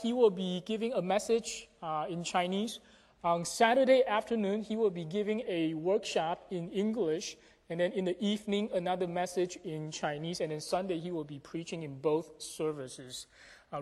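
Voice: mid-range voice, a little mellow. Pastor addressing a congregation in a reverberant hall. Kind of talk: church announcements and sermon. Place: church sanctuary during a service.

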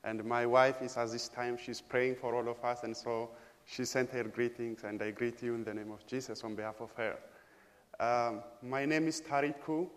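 A man's voice: medium pitch, steady tone. Ethiopian-accented man preaching in a hall. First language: Amharic. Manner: measured, halting with frequent pauses and fillers. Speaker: guest preacher. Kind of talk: sermon. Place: church sanctuary.

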